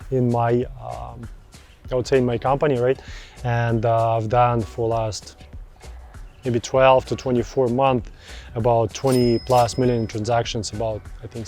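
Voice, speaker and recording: A man in his thirties with a Russian accent talks through viewer questions about buying property. His pitch sits in an ordinary middle range, and his speech is loose and friendly, full of repeated words and non-native phrasing. Faint music plays softly underneath. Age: 30s. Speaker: real estate broker. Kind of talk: casual answers to viewer questions.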